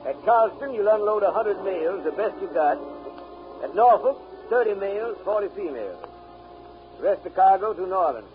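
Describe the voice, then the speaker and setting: An actor playing a coolly callous, businesslike shipping agent with a dry official manner in a 1930s radio drama. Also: male voice, high-pitched, animated, a little fast, faint music behind. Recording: dull, noisy 1930s radio recording.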